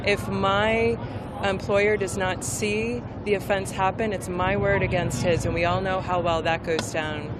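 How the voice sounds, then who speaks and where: A woman in her forties from Maine, a restaurant server speaking bluntly and forcefully in a street interview at a protest march. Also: medium pitch, level tone, measured pace. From Maine, USA. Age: 40s. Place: outdoor city street march. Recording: loud street noise outdoors.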